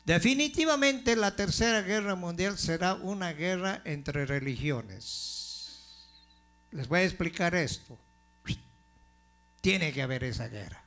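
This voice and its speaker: A male pastor delivering a sermon in a slow, deliberate way, building to forceful emphasis. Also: high-pitched, lively intonation.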